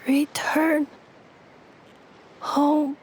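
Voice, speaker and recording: Halting, high-voiced android girl. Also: little pitch movement, a little mellow, a little hiss or hum. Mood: dazed, searching. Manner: halting, fragmented, very sparse phrases. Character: female humanlike machine, dazed and single-minded. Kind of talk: anime game voice acting.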